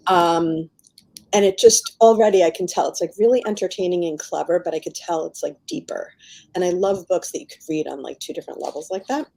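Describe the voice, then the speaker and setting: Chatty American woman, slightly low voice. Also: fairly quick, vocal fry, mellow, poor audio. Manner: informal, peppers talk with "like". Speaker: author being interviewed. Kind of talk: casual chatty book interview.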